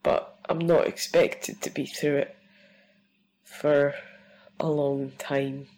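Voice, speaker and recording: A woman's voice: low voice, level tone, takes it slowly, a little mellow, low-quality recording. A woman with a cold, leaving a heartfelt, sweary voice message for a host. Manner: heartfelt, sweary, laughing through tears. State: full of a cold, rough-sounding. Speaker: listener sending a voice message.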